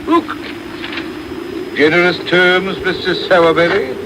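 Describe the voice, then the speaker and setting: Self-important beadle, oratorical, slightly high voice, period radio play on noisy medium-wave tape. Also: male voice, expressive, a little slow. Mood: wheedling, satisfied. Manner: pompous, oratorical. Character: pompous, self-important male parish beadle. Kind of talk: radio period drama acting.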